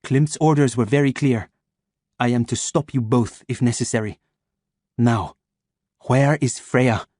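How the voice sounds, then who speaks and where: A man voicing a cold, commanding female agent with a soft, purring French accent, read in a dry, close recording. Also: medium pitch, unhurried, long pauses, no room sound, lightly acted.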